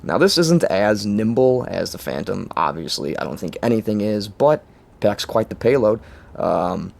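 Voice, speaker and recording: A hoarse young man talks through his game builds in a relaxed, rambling way. His voice sits low and gravelly with plenty of fry, and he fills gaps with ums and little laughs. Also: normal speed. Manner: rambling, chuckling, many fillers. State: hoarse, lost voice. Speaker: game channel host. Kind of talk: casual gameplay walkthrough.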